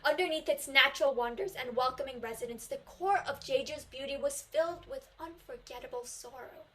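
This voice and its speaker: Korean teen girl doing a contest speech in english, high voice, earnest, leans hard on the big lines. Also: a bit bright. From South Korea. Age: teens. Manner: earnest, emphatic, slows on key phrases. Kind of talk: memorized speech contest delivery.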